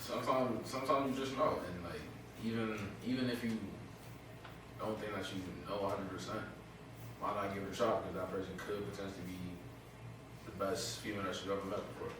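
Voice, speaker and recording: Lively low-voiced man in an echoey room. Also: brisk. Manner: playful, pushes back, lively. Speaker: guest. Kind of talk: casual group chat. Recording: echoey room with noise, mic far off.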